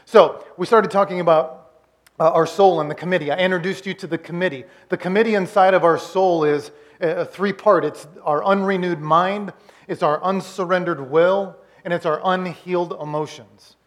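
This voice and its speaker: High-voiced pastor in his forties. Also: fairly even intonation, normal speed. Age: 40s. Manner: conversational, repeats words, puts on quoted voices. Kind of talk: sermon recap.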